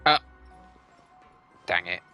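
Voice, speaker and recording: A man's voice: drawn-out words, bright, forceful tone, faint music behind. A man doing casual live gameplay commentary, talking to himself as he puzzles things out. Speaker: game streamer.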